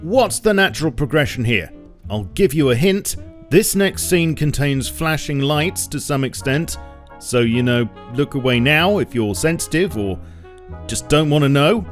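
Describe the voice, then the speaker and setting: A man's voice: on the high side, lively intonation, unhurried. A man hosting a scripted first look at new game items, narrating with dry, wry asides. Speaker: video host showing new game items. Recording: music under the voice. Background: music.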